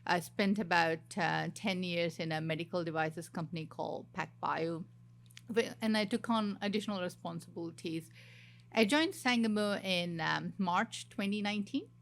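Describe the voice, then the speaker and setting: A woman from southern India, a company CFO, introducing herself on a conference panel in an earnest way with frequent fillers. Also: on the low side. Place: conference stage.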